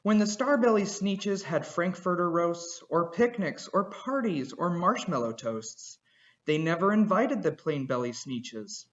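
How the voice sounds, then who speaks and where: Male storyteller, high voice, rhythmic rhyming read-aloud, lo-fi home recording. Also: lively intonation, unhurried. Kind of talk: reading a children's picture book aloud.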